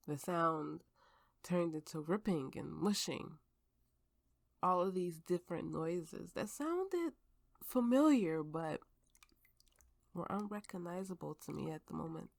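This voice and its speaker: Haunted, tipsy swordswoman played by an actress, ASMR roleplay storyteller; slow, creaky delivery, bitter and grieving, dramatic pauses. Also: on the low side, no room sound, exaggerated voice. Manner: halting, slow, dramatic pauses, dropping toward whispers.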